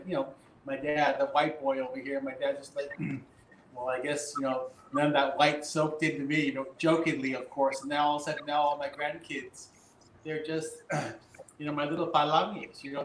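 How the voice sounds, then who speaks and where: An older man, a grandparent, talking in a rambling, joking way and clearing his throat often. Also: on the high side, echoey room, slight background noise, poor audio. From half Samoan. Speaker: guest grandparent.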